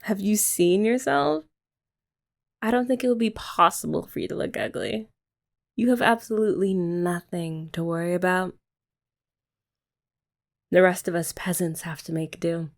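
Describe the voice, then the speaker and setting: A young woman doing a soft, teasing, affectionate ASMR girlfriend roleplay, often laughing, close to the mic. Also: fairly low pitch, moderate pace, gravelly, long pauses.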